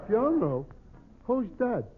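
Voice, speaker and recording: Lovable New dope, literal-minded, widely lilting voice, tinny 1940s radio comedy recording. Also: male voice, extremely high pitch, sing-song, heavily acted. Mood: baffled, placid. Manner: dopey, slow, literal-minded. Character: dim-witted, good-natured, slow male tavern regular. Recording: tinny, faintly noisy old radio recording.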